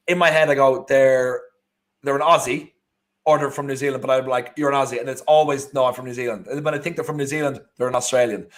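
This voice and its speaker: A man with an Irish accent co-hosting a live football watchalong, chatting casually and rambling with frequent restarts. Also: medium pitch. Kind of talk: casual live match chat.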